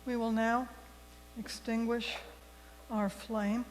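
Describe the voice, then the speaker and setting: A woman leading a worship service, reading liturgy and giving announcements to a congregation in measured, deliberate phrases. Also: on the high side, little pitch movement. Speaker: worship leader. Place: large church sanctuary. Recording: long hall reverb, faint mains hum.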